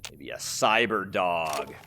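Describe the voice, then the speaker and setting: A man's voice: low-pitched, expressive, slow, creaky, noisy background. A man giving casual, rambling gameplay commentary, thinking aloud in a conversational way as he plays. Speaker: gamer, let's play host.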